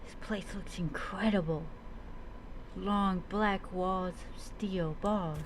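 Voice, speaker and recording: A woman's voice: mid-range voice, fairly even intonation, takes it slowly, a little hiss or hum. A woman doing in-character commentary as she plays a roleplay adventure game, musing dramatically.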